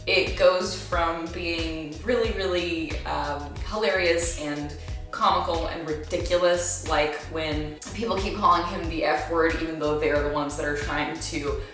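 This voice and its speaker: Young female vlogger, emphatic and chatty, slightly low voice, recorded well off the mic with faint music behind. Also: measured pace. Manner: animated, gushing, emphatic. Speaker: book review vlogger. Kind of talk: casual chat to viewers. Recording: echoey room, distant mic, faint music.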